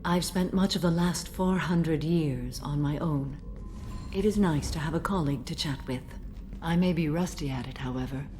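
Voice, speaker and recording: Woman as a low, mellow, ancient alien warrior. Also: normal speed, a little hiss or hum, lightly acted. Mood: serene, solemn. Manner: formal, composed, solemn. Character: serene, ancient female alien warrior bound by code. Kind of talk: video game dialogue.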